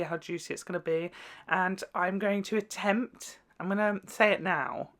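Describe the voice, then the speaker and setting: A man's voice: high voice, fairly bright tone. A man giving casual reaction commentary as a host, with gossipy, exclamatory asides.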